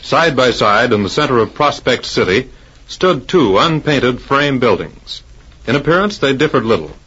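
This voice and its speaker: A man's voice: medium pitch, lively intonation, moderate pace, fairly bright tone, breathy edge. Dramatic 1940s radio narrator, male, muffled recording. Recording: dull old radio recording.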